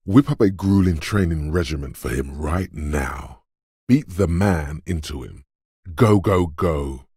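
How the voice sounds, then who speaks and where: Gruff, goading muscle-brain game narrator; slow, dramatic delivery, gravelly bass, close dry sound. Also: male voice, mellow, no room sound, exaggerated voice. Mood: goading, forceful. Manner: deliberate, commanding, taunting. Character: gruff, macho inner voice of brute muscle, goading like a drill coach.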